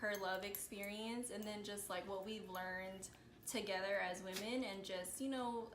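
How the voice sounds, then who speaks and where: A woman's voice: mid-range voice, steady tone, unhurried. A woman of thirty hosting a casual, chatty interview with a friend. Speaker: host interviewing her friend. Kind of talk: casual chat between friends. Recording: echoey room with faint noise.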